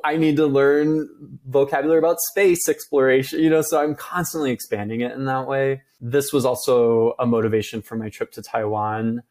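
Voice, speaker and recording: A man's voice: mid-range voice, vocal fry, darkish tone. A man in his thirties from the American Midwest, a Mandarin teacher chatting casually and enthusiastically as a podcast guest.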